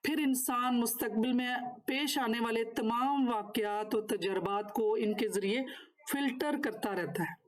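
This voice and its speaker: A woman with a fairly high voice teaches a course. She moves between reading prepared notes and explaining them, keeping her wording formal and instructive. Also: a little slow. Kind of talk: lecture, partly read from notes with spoken explanations.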